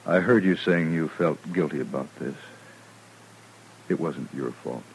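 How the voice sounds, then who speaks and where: Wounded western townsman, low pitch, calm reflective delivery, hissy old radio sound. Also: unhurried, low-quality recording. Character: calm, wounded, philosophical frontier man. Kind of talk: old time radio drama acting. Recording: hissy vintage radio recording.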